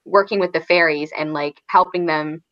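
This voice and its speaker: A woman's voice: low voice, steady tone, moderate pace, dull audio. A woman chatting casually in a book discussion, thinking aloud in a hesitant way.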